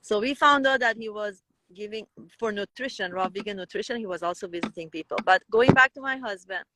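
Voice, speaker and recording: A woman's voice: mid-range voice, brisk, very noisy. A woman with a Persian accent, a native Persian speaker, talking as an interview guest with many restarts and fillers. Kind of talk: conversational interview.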